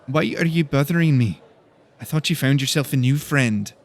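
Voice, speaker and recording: A man's voice: mid-range voice, normal speed, voice pushed up, natural voice. A man voicing a proud, prickly young female student who speaks in a short, huffy way in an audiobook. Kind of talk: audiobook character dialogue.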